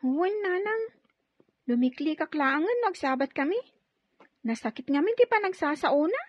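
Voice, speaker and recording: Very high voice, dutiful hurt child acted by a woman, audio drama, dull dry sound. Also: lively intonation, normal speed, no echo. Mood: hurt, pleading. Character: hurt, dutiful young child of poor mother. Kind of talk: Ilocano audio drama acting.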